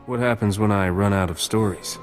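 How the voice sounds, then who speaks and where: A man voices a roguish starship captain for a video game. He has a lowish voice and a wry, cocky, flirtatious manner, set in hall reverb with music behind. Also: a little fast, natural voice. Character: roguish, flirtatious male smuggler captain, wisecracking. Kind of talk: video game cutscene acting.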